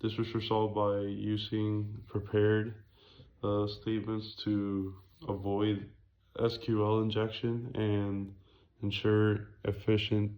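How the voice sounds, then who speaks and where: A young man presents a student project in a raspy voice with a completely flat intonation. He speaks haltingly and corrects himself as he goes, on a slightly muffled, lo-fi recording. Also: lowish voice. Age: young adult. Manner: hesitant, halting, self-correcting.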